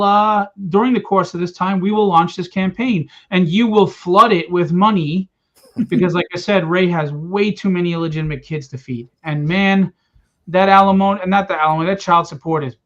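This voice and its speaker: A man's voice: high-pitched, a bit bright. Joking, upbeat male livestream host. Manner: joking, teasing, hyped. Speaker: host and comic publisher. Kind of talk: casual livestream hosting. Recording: dull lo-fi stream audio.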